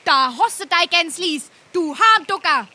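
An actress playing a feisty, sharp-tongued young sweetheart who scolds in Darmstadt Hessian dialect comedy. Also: female voice, extremely high pitch, animated, unhurried, background noise. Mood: scornful. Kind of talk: dialect stage comedy acting.

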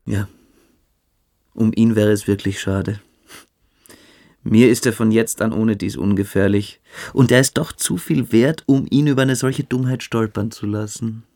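A man playing a calculating, cruel young military-school cadet in a German radio drama, speaking with deliberate, coolly superior menace. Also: lowish voice, fairly even intonation, vocal fry, darkish tone. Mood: cold, calculating.

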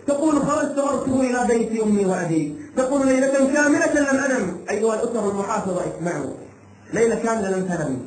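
A male preacher delivers an impassioned cautionary sermon in a very high-pitched voice. His phrases are short, emphatic and often repeated, and they come quickly. The sound is strongly echoey. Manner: emphatic short phrases, repetition for dramatic effect. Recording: echoey room sound with light background noise.

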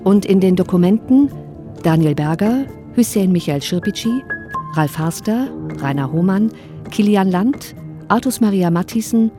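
A woman's voice: medium pitch, animated, moderate pace, music in the background. A woman reading scripted radio titles and credits as an announcer.

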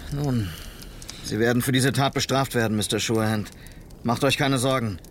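A man's voice: mid-range voice, steady tone, moderate pace, a little hiss or hum, close to an everyday voice. An actor playing an earnest, idealistic city policeman out west in a German radio drama, formal and insistent in manner. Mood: polite, calm. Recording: music bed behind dialogue.

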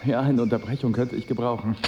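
An actor playing a polite, stubborn knight in a radio drama, with a courtly, formal manner. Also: male voice, medium pitch, fairly even intonation, fast, dark tone, muffled recording, close to an everyday voice. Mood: courteous.

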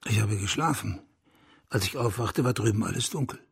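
A burly, broad-shouldered hunter in a radio drama. His voice is very husky and rough, crackling with gravel. He rambles on in an earthy, blunt way, like a talkative country gentleman. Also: fairly low pitch, a little fast, exaggerated voice. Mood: blunt, wry. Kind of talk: German radio drama acting.

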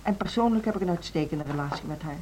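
An actress playing a composed, businesslike music school directress in her fifties, speaking formally and in measured phrases in a radio drama. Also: on the low side, lively intonation, a little mellow, natural voice, poor audio. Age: middle-aged. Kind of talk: naturalistic radio drama dialogue. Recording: radio play with clear background noise.

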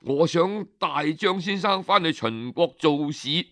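Man voicing an eager envoy, unhurried. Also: fairly high pitch, lively intonation, a bit bright, no room sound. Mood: courteous, persuasive. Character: eager, courteous male Qin envoy of ancient China.

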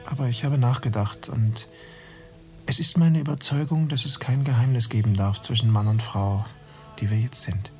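A male actor plays a burdened, tender young bridegroom in a radio drama. His medium-pitched voice is mellow and hushed, earnest throughout, over soft music. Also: normal speed, natural voice. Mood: earnest, pleading.

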